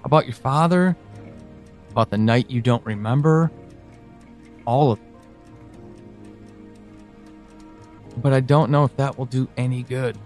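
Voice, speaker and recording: An actor playing an anguished, pleading, devoted man in an audio drama monologue, speaking haltingly and emotionally. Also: mid-range voice, a little mellow, faint music behind, natural voice. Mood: earnest, longing. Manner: halting, hesitant, emotional, with sighs and pauses.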